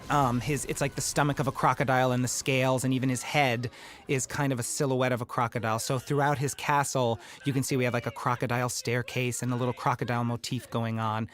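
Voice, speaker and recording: A man with a fairly high speaking voice talks over his film as its director. He is eager and chatty, full of fillers and restarts, his pitch sitting in the middle for a man. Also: level tone, fast, a bit bright. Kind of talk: audio commentary chat.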